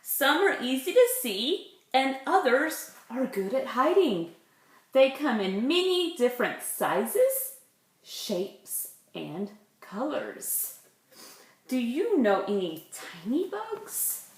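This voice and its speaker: A woman's voice: sits high, big pitch swings, takes it slowly. A woman, a preschool teacher leading circle time and reading a picture book, asking simple questions and explaining words for small children. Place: indoors in a room at home. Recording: roomy, echoey home recording.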